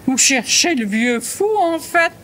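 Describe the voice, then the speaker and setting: A gruff old countrywoman, acted by a woman, speaks in a very high voice. She is blunt, grumbling and suspicious in a comic audio drama, with faint noise around her. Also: expressive, unhurried, fairly bright tone. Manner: blunt, grumbling rural speech. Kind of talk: comedic audio drama acting.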